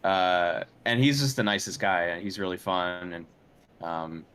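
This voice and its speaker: Low-voiced man in casual interview. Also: measured pace, a bit bright, clear, resonant tone. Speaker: interviewed composer.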